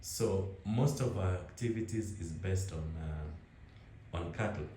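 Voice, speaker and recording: A young man with a Kenyan accent talks in a relaxed, rambling way, often restarting his words. His voice sits low and dark. The room has a strong echo. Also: slow pace, a little hiss or hum. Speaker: interviewee. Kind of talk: casual conversation.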